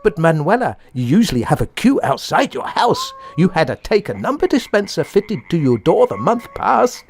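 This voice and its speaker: A man's voice: sits high, big pitch swings, normal speed, hardly any pauses, a little hiss or hum. A man playing a nosy, tactless, gossiping villager in a comic radio play. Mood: gossipy.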